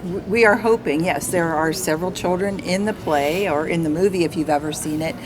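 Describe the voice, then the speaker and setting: A woman's voice: lowish voice, fairly quick, crowd noise. An American woman explaining and encouraging as a guest in a casual interview. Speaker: guest, community theater player. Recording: clearly noisy background.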